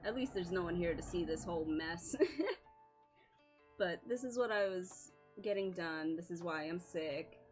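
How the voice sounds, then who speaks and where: A woman's voice: on the low side, a little slow, a little mellow, faint music behind. A woman streaming live with a scratchy voice, chatting casually, apologizing often, swearing and laughing a lot.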